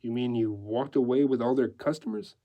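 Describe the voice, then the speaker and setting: A man's voice: medium pitch, a little fast, phone-quality sound, close to an everyday voice. A man reading the part of an unruffled veteran male newspaperman in a story, asking plain, direct questions. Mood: probing, blunt.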